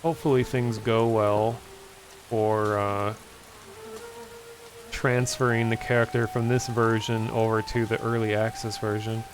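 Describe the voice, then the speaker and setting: A man streaming a game, casually answering chat and talking through play, hoarse from losing his voice after hours of talking. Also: lowish voice, a little slow. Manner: relaxed, easygoing, answers chat questions. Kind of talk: casual gameplay commentary, reading chat.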